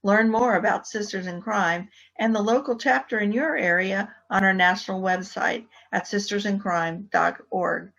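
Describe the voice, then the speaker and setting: A woman narrates a scripted program introduction as the announcer. Her voice is of average pitch. The recording is a little muffled. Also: fairly even intonation, moderate pace, gravelly, few pauses, fairly bright tone. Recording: slightly muffled, dull sound.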